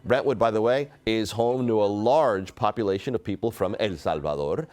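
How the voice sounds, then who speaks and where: A man hosting a morning TV news segment in a studio, asking guests brisk, friendly questions. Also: on the low side, darkish tone. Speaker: TV news host. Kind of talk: morning TV interview.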